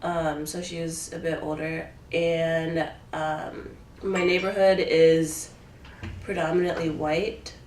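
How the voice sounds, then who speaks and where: A woman's voice: deep voice, little pitch movement, slow pace, a little mellow, reverberant, distant mic, a little hiss or hum. An eighteen-year-old woman from the United States answering interview questions in a casual, hesitant way, with lots of "um" and "like". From Ohio, USA; born in Taiwan. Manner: hesitant, many fillers like "um" and "like".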